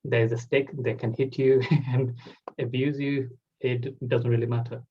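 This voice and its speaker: A man's voice: medium pitch, level tone, unhurried, lo-fi sound, a little hiss or hum. A man, hosting a casual interview and talking loosely with many "like" fillers. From Bangladesh. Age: 20s. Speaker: host.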